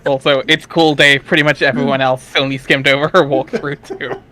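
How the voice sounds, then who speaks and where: A man's voice: mid-range voice, fairly even intonation, moderate pace, crowd noise. A man chatting informally among co-readers, giving jokey, explanatory asides. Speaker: co-reader who explains and comments. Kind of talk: casual chat, explanatory asides.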